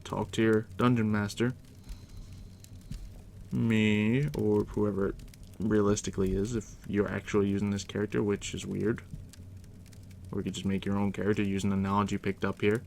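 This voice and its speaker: Deep, gravelly, hushed man. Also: normal speed, long pauses. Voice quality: deep, hushed near-whisper. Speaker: ASMR roleplay host, playing a dungeon master guiding a new player. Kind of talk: ASMR roleplay, softly spoken explaining. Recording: steady background noise, crackling fire ambience. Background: fire crackle.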